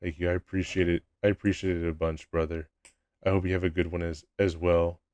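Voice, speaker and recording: Low voiced young guy streaming, laid back and rambly, real dry close mic. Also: flat delivery, a little fast, a little mellow, dry recording. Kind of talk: casual live-stream chat, reading chat aloud.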